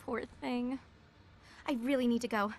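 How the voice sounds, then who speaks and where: A woman voices a bubbly small-town fangirl in a video game. Her voice is high and chirpy, gushing along over faint background noise. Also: fairly even intonation, moderate pace, darkish tone, close to an everyday voice. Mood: excited. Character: bubbly, starstruck young small-town waitress fan.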